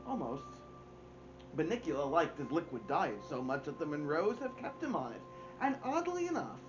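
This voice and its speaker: A man in his thirties hosting story time, reading a children's book aloud with theatrical emphasis and expressive pauses. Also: fairly high pitch, highs cut off. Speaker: story-time host and reader. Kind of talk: animated children's audiobook-style read-aloud. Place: small furnished room at home, indoors.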